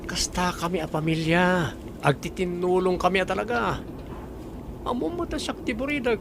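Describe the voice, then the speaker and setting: An actor with an Ilocano Filipino accent playing a carefree, coaxing young fiancé and favourite son in a radio drama. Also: male voice, high voice, moderate pace, gravelly, background noise.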